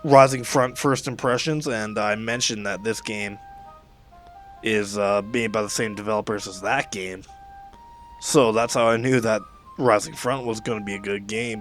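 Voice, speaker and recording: A man from Western Canada with a Canadian accent, giving casual, rambling gameplay commentary as a video host. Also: medium pitch. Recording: noisy, game gunfire behind voice. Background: game battle sounds with gunfire.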